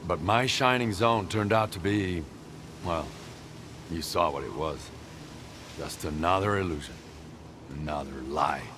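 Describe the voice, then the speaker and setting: A grim, distrustful stalker loner in a game cutscene, his voice sitting very low as he speaks in a grave, guarded way. A clear layer of ambient noise runs under the game audio. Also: measured pace, long pauses. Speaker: video game character. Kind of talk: video game cutscene voice acting.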